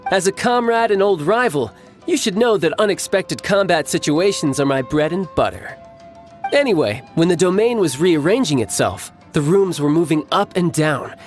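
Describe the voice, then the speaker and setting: A man's voice: on the high side, animated, moderate pace, soft background music, lightly acted. An actor playing a playful, boastful young male fighter who relishes combat in a video game, breezy and teasing. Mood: smug playful.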